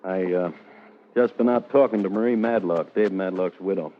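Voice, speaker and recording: A thin, tinny vintage radio recording of a crime drama. An actor plays a dogged American police captain with a fairly low voice. He is dry and blunt, pressing with clipped questions. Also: moderate pace, lightly acted. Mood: insistent, dry.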